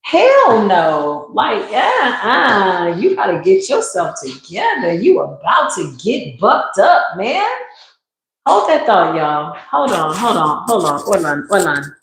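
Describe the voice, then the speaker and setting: A woman's voice: medium pitch, sing-song, takes it slowly. A woman hosting a casual livestream tarot reading, slangy and exclamatory, talking straight to her viewers. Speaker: spiritual reader and livestream host. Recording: very echoey room.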